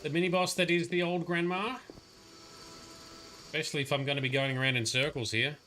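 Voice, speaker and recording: A man's voice: a little high, fairly even intonation, brisk, sharp tone, slight background noise. A man giving casual, rambling commentary while livestreaming a game, muttering asides and grumbling at the characters. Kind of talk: casual live gameplay commentary.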